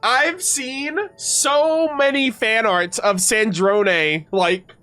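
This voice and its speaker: A young woman giving casual, excitable, slangy commentary to a chat as a game streamer. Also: on the high side, drawn-out words, faint music behind. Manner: excitable, slangy, full of likes. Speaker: streamer reacting to game voice lines.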